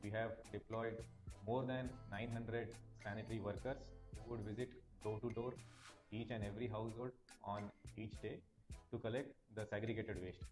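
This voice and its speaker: Man, Indian accent, lower pitch, formal official explanation, music behind. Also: flat delivery, moderate pace. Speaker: municipal commissioner.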